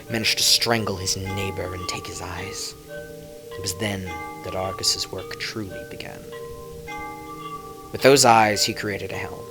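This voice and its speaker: A man reading a dark tale as a dramatic narrator, with theatrical, deliberate emphasis and music behind him. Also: low voice, poor audio. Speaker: narrator reading lore books.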